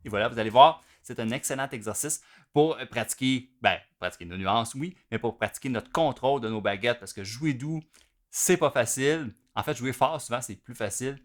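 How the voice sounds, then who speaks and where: A man with a Quebec French accent, a drum teacher giving an informal, explanatory lesson in which he pauses to play between phrases. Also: mid-range voice, a bit bright. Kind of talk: instructional video lesson.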